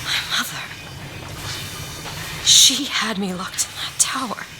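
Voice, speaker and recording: A woman's voice: medium pitch, slow, close to an everyday voice. A woman playing a wary, defiant young woman in a video game, speaking formally and with emotion. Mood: hurt, pleading. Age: young adult. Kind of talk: dramatic video game acting. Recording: music behind. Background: music.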